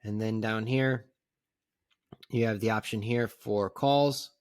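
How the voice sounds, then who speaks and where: A man narrating a casual step-by-step software tutorial in a plain, conversational, instructional way. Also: lowish voice, steady tone, slow, clean tone, dry recording. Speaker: tutorial presenter.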